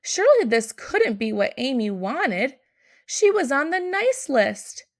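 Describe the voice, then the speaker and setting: Woman doing kindly old santa in a picture book, worried n urgent, bit high, creaky. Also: sing-song, takes it slowly, exaggerated voice. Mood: puzzled. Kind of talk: children's storybook read-aloud.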